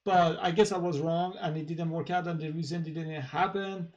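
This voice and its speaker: A man with a thick Persian accent talks on his own channel in a fairly high voice. He speaks casually and haltingly, full of fillers and restarts. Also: fairly even intonation, a little mellow, lo-fi sound. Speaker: channel host. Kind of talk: casual monologue to camera.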